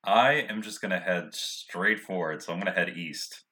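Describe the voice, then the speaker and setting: A man's voice: low voice, moderate pace, pushed and bright. A man playing a tabletop game, chatting casually in an offhand, joking way.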